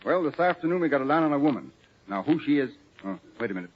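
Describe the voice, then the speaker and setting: A hissy, dull vintage radio recording has a man playing a middle-aged lawman with a medium-pitched voice. He sounds self-assured and wry, laying out his points in crisp 1940s drama fashion. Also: sing-song, racing, lightly acted. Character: confident, brisk middle-aged city lawman, teasing and assured. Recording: muffled, noisy 1940s radio broadcast recording.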